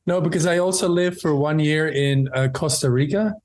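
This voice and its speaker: A man, talking easygoingly as a podcast guest over a remote call, with fillers and pauses. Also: a little high, a little mellow. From Belgium. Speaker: podcast guest, documentary filmmaker. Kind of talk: casual interview chat. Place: home room with window.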